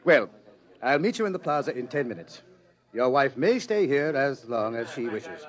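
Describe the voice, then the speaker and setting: An actor playing a suave, self-indulgent pursuer from the future in a vintage radio drama, urbane, leisurely and mocking. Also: male voice, mid-range voice, very animated, moderate pace, close to an everyday voice. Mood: gloating relish. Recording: old radio drama recording.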